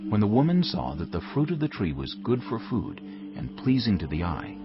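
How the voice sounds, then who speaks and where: A man narrating a dramatized scripture reading in a solemn storytelling manner with clear phrasing. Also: lowish voice, big pitch swings, normal speed. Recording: dull muffled audio under music.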